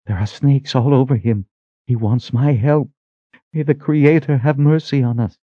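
Man voicing a stern, devout religious woman, pitched up. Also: steady tone, normal speed, mellow, dry recording, highs cut off, natural voice. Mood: alarmed, pious. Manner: earnest, scolding.

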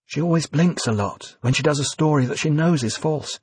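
A man reading an audiobook, voicing a frightened, earnest young woman with a husky, raised voice that climbs into shrill cries. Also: fairly quick, a little mellow, no echo, far from the mic. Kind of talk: audiobook character voice.

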